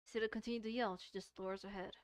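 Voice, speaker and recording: A woman's voice: mid-range voice, fairly even intonation, rapid-fire, no room sound. A woman streamer reading a visual novel aloud expressively, mixing dramatic narration with casual commentary and stumbling over words.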